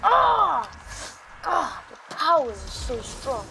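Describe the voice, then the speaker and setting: A woman playing an exasperated, boastful male sorcerer hero in an improvised amateur game roleplay, speaking haltingly. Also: high voice, big pitch swings, creaky, exaggerated voice. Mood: agitated. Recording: noisy amateur game-capture audio.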